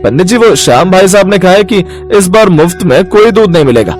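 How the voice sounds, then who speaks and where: A man voicing a greedy, dishonest milk-delivery man with a glib, scheming manner in an animated moral story. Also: sits high, brisk, soft background music. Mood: falsely helpful.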